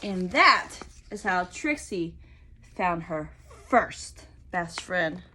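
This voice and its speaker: A woman teacher reading a picture book aloud to young children, enunciating deliberately and prompting them with questions. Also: fairly low pitch, lively intonation, sharp tone, slight background noise.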